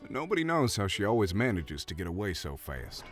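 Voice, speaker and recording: A man acts as a folksy saloon bartender in a western audio play. His pitch swings around a lot as he gossips or placates, over faint background music. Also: a little fast. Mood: gossipy. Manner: folksy, animated. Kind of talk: Old West audio roleplay acting.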